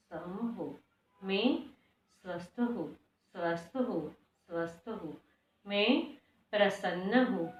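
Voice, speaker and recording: Echoey, slow-paced woman leading guided meditation. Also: medium pitch. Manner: slow, deliberate, short phrases with repeated lines. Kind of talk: guided meditation with spoken affirmations and prayers. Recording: echoey room, distant mic, dull sound.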